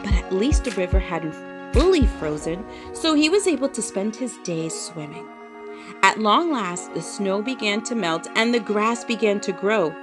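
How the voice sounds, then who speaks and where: A woman reading a children's story aloud as an expressive, dramatic narrator with emphatic delivery. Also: mid-range voice, moderate pace, over music.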